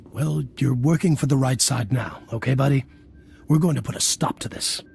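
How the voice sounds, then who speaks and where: Gravelly, reassuring military ally. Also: male voice, mid-range voice, moderate pace, darkish tone. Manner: plainspoken, reassuring. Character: reassuring, gruffly friendly male military ally. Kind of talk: video game cutscene acting.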